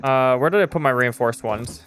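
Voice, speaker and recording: A young man talks his way through a game stream, frank and rambling, with easy swearing and plenty of fillers. His voice is smooth and clean, sitting at an ordinary middle pitch. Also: normal speed, a bit bright. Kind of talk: casual live-stream chat while gaming.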